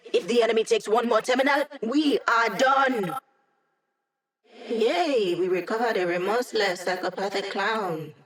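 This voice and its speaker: A woman's voice: medium pitch, close to an everyday voice. An actress playing a wry young female hacker who supports the team with dry, sarcastic quips in game voice lines. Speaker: video game character.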